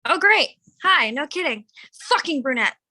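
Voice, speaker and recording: A woman's voice: high-pitched, lively intonation, moderate pace, bright, forceful tone, full character voice. A woman playing a chirpy, blunt nineteen-year-old psychiatric patient in a screenplay table read. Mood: chirpy, blurting.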